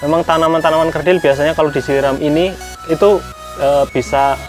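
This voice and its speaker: A man's voice: fairly high pitch, steady tone, brisk, over music. A man, a young farmer answering interview questions in a plain, modest, slightly hesitant way. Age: 30s. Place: outdoor greenhouse on a hillside.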